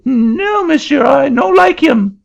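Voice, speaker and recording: A high, excitable Frenchman with a thick French accent, his broken English sputtering out in a gravelly, husky rasp. The recording is dull and lo-fi, like an old audiobook. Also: slow pace, exaggerated voice. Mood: indignant. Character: excitable middle-aged Frenchman swindled in land deal. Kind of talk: audiobook reading.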